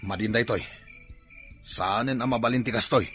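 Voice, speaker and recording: A man's voice: medium pitch, normal speed, over music, natural voice. A man acting a sleep-deprived, complaining neighbour in an Ilocano-accented radio drama, groggy and groaning. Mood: groggy, irritated.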